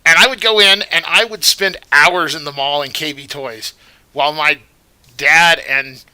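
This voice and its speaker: A male vlogger with a very bright, sharp voice rambles through his commentary. His tone is indignant and moralizing, and his voice sits a little high. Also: measured pace, smooth. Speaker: vlogger host. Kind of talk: commentary vlog.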